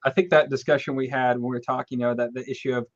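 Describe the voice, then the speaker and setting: A man's voice: medium pitch, steady tone, very fast, no echo. A man hosting a live online panel, chatting loosely with rambling asides while he moderates. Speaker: host of a live panel stream. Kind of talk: casual moderating. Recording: muffled lo-fi, very dry.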